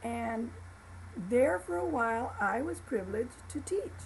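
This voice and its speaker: Brief: older woman, 70s, gravelly and slow, homespun life-story testimony. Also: a little high, lively intonation, slight background noise, low-quality recording. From Florida, USA. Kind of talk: personal testimony to camera.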